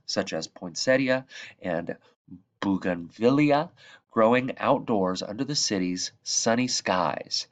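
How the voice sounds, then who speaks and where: A man reading a children's book aloud as a narrator, with measured, expressive phrasing and emphasis on key words. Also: on the low side. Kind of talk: read-aloud storybook narration.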